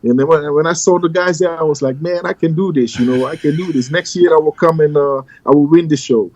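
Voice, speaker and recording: Soft-spoken man, dull dry sound. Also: a little high, no room sound. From Ghana, raised in the Netherlands. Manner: halting, many "you know" fillers. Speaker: guest, pro bodybuilder. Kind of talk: casual interview chat.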